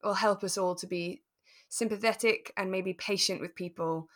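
A woman co-hosting a podcast, explaining things step by step in a relaxed, conversational way. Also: mid-range voice, normal speed. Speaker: podcast co-host.

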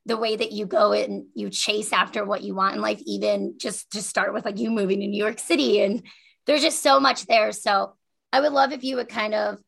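A woman's voice: medium pitch, fairly even intonation, moderate pace, gravelly, a bit bright, slightly rough. A woman hosting a podcast in a casual, chatty way with a friend, effusive and giggly in manner. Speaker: podcast host.

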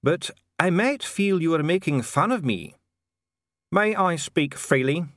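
A man's voice: a little high, animated, a little slow, fairly bright tone, dry recording, studio quality, voice pushed up. A man voicing a stubborn, sardonic diplomat with pointed, mock-polite delivery in an audiobook. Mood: probing. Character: dry, sardonic, stubborn male human diplomat.

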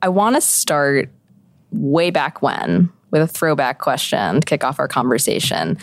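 Lively low-voiced woman interviewer. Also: vocal fry, dry recording. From Chicago, USA. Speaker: guest interviewer. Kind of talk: podcast interview.

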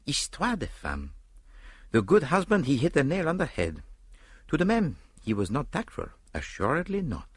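A man voicing a dry, courtly detective with a French accent and a deliberate, gently mocking manner. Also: a little high, wildly swooping pitch, breathy edge, no echo, pitched above the performer's own voice, heavily acted. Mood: musing.

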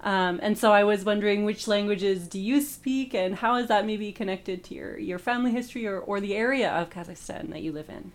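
A woman's voice: mid-range voice, a little fast, few pauses. A woman hosting a podcast from a radio studio, encouraging and friendly with many fillers. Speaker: podcast host. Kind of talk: podcast interview.

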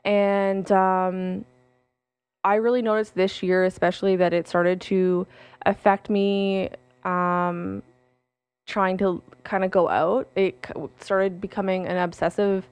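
Candid woman with a smooth, dry voice. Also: medium pitch, level tone, takes it slowly, darkish tone, no echo. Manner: frequent ums, self-corrections, candid and personal. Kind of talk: casual solo talk with read-aloud passages.

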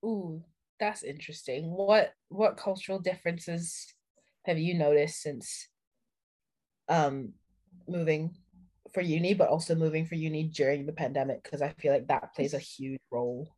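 A woman's voice: low voice, moderate pace. A young woman co-hosting a podcast, chatting casually and telling self-deprecating stories with energy. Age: young adult. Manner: lively, self-deprecating storytelling. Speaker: podcast co-host. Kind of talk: casual chat.

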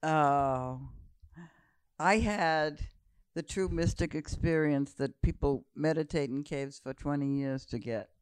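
Calm, reflective older woman in her seventies, smooth tone, dry studio sound. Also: low voice, takes it slowly, no echo. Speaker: guest. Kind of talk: relaxed storytelling conversation.

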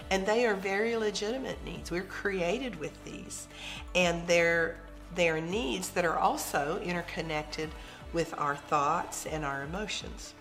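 A hoarse woman with a lowish voice gives a calm, reflective devotional teaching. She speaks in a gentle, measured way. Soft music sits faintly behind her.

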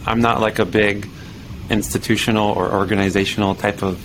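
A man hosting a casual interview, relaxed and hesitant as he asks his questions, with frequent backchannels. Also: lowish voice, brisk, poor audio. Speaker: interview host. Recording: noticeable background noise.

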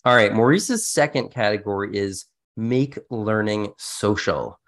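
A man's voice: lowish voice, unhurried, a bit bright. A man speaking as a podcast co-host in an informal, conversational discussion, explaining things with casual asides.

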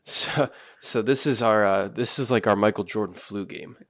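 Low, gravelly man in casual podcast chat. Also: moderate pace, fairly bright tone, no room sound, clean studio sound. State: coming down with a cold. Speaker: podcast co-host.

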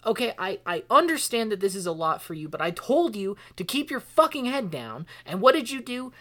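A woman acting an exasperated, volatile character in an audio drama, pleading in halting, stammering, emphatic speech. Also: medium pitch, very animated, fairly bright tone. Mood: angry, frustrated.

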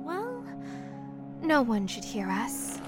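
A woman acting in an audio drama as a menacing mermaid, cool and aloof, with a formal, deadpan delivery. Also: fairly high pitch, takes it slowly, music in the background, full character voice. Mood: coolly seductive.

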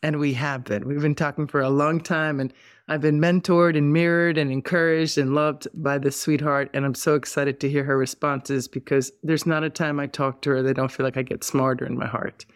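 A woman's voice: low-pitched, fairly even intonation, fairly quick. A woman hosting a podcast, talking in an effusive, chatty, enthusiastic way. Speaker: podcast host. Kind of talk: casual podcast chat and intro.

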